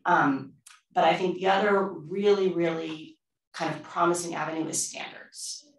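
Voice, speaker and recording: Low gravelly woman on a panel. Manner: thoughtful, emphatic repetitions. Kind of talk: panel discussion. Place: conference room. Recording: echoey room from far off.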